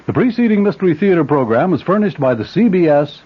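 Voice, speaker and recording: Animated American radio announcer, lo-fi sound. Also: male voice, fairly high pitch, quick pace, slightly rough. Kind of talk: 1970s radio sponsor announcement. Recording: dull lo-fi 1970s radio recording.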